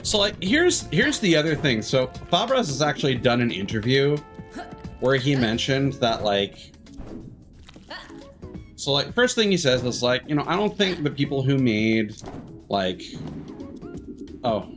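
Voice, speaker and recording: A man giving casual live video game commentary, rambling and thinking out loud as he plays. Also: medium pitch, very animated, measured pace, pauses often. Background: game music.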